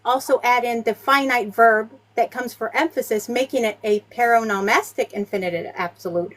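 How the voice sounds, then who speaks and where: A woman's voice: fairly high pitch, moderate pace. A woman questioning debaters in a live online debate, pressing a technical grammar point and talking over the others.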